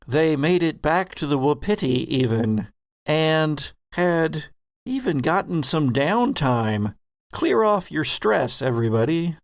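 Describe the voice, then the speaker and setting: A man hosting and narrating a tabletop game as game master, dramatic and deliberate, with suspenseful pauses. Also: fairly high pitch, animated.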